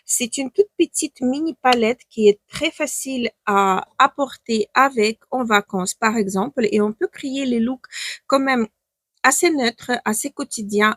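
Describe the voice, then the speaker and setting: A young Ukrainian woman with a fairly high voice, speaking French as a second language in a relaxed beauty-vlog chat. She hesitates often and restarts her sentences. The recording is close and dry, with no room sound. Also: no echo. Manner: chatty, with frequent hesitations and restarts. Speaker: beauty vlogger. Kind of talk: casual makeup review.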